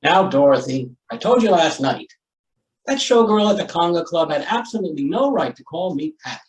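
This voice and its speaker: A man's voice: on the high side, expressive, fairly quick, distant mic, close to an everyday voice. Man playing a flustered editor in an echoey room. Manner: hemming, placating, dry. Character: flustered, placating male newspaper editor, dryly wisecracking. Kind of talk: old-time radio comedy acting. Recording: roomy stage, away from mic.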